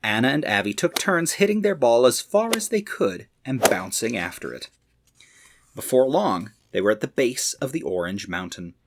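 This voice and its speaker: A man reading to children as a storyteller, expressive, with dramatic emphasis. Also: mid-range voice, moderate pace, vocal fry, noisy background.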